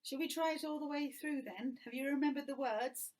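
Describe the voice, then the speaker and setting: A woman with an English accent, teaching young children to sing in a gentle, encouraging way. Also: high voice, a little fast. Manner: gentle, encouraging, sings phrases for copying. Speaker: music teacher. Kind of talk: children's singing lesson.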